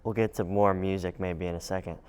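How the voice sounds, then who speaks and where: A man's voice: sits low, fairly even intonation, fairly quick, a little mellow, clear, resonant tone. A male host interviewing a guest in a friendly, curious way with short reactions. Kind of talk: casual interview.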